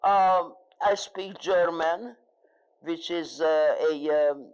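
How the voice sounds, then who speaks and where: An older woman with a Hungarian accent, a native Hungarian speaker, answering questions as a guest speaker in a live interview, pausing often and searching for words. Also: sits low, a little mellow, poor audio. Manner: halting, searching for words with frequent fillers. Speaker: Holocaust survivor, guest speaker.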